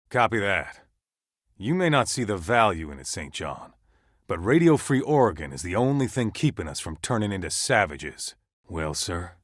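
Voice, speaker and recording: An actor playing a gruff, stern survivalist camp leader in a video game, with an American accent and a folksy, blunt, commanding way of talking. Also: male voice, sits low, a bit bright, no room sound, studio quality, heavily acted. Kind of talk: video game character dialogue.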